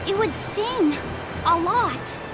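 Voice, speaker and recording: A woman's voice: extremely high pitch, expressive, slow pace, music in the background, full character voice, poor audio. A woman playing a serious, guarded young adventurer in a fantasy video game. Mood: curious, reserved. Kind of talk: English-dubbed fantasy game acting.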